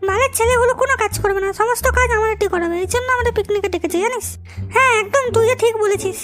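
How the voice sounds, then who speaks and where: A man voicing a sharp-tongued, brash young woman in an amateur comedy cartoon, with an artificially raised voice. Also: very high voice, very fast, music in the background. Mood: scolding. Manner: brash, scolding, foul-mouthed.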